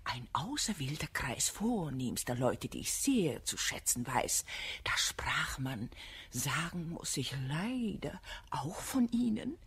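A pious society lady in a classical radio comedy in verse. She speaks slowly and huskily, with big theatrical swoops. Every phrase is drawn out into sweet, affected insinuation. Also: low voice, sing-song, breathy edge, heavily acted. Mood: scandalized relish. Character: prudish, sanctimonious society lady feigning friendly concern.

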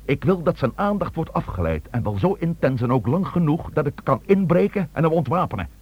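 Husky, terse police chief. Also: male voice, fairly high pitch, big pitch swings, a little fast, lo-fi sound. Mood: insistent, commanding. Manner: terse, authoritative. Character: commanding, determined male police chief. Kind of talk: Dutch radio play acting.

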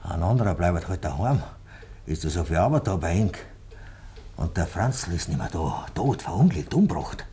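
A man's voice: low-pitched, very animated, moderate pace, dark tone, a little hiss or hum. An actor playing a guilty, bullying village man with a Bavarian accent, badgering with taunting questions in a 1950s dialect radio play. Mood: taunting, needling.

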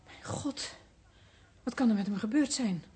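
An actress playing an anxious, worried daughter in a radio play, speaking Netherlands Dutch in an urgent manner. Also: female voice, on the high side, moderate pace, mellow, slightly rough. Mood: shocked, worried. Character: anxious, distressed daughter seeking her missing father.

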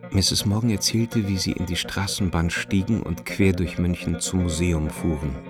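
Male radio play narrator, extremely low voice, creaky, literary reading, guitar music behind. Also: fairly even intonation, moderate pace. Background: plucked guitar music.

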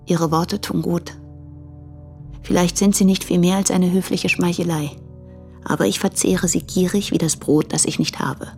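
An actress playing a lonely, despairing young woman who confesses in letters in a radio drama, with a husky, halting, subdued delivery. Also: lowish voice, fairly even intonation, darkish tone, soft background music. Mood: lonely, wistful. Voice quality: breathy, husky with creaky edges.

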